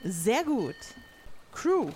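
A woman's voice: a little high, very animated, extremely slow, a little mellow, slight background noise, exaggerated voice. A woman playing a stern, authoritative pirate captain in an improvised audio drama. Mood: commanding.

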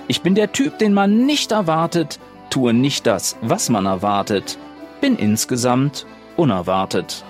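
A man's voice: mid-range voice, very animated, measured pace, soft background music. A man speaking casually as a guest and player in an improvised role-play podcast.

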